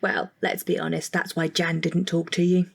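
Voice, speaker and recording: An actress playing a frank, teasing, sociable neighbour in an audio drama, with a blunt and playful manner. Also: female voice, on the low side, little pitch movement, normal speed, vocal fry, breathy edge.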